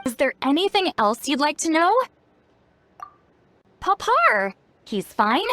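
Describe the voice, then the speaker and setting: A woman's voice: sits high, very animated, slow, sharp tone, slight background noise, full character voice. A woman voicing a spry, youthful-sounding self-styled granny in a video game, playful, knowing and caring. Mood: caring, informative. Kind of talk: scripted video game dialogue. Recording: game music behind. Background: game music.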